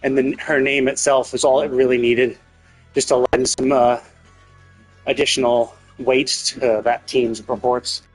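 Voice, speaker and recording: A man's voice: medium pitch, level tone, a little slow, faint music behind, rough recording. A man giving casual live game stream commentary, musing aloud in a rambling way and rephrasing as he goes.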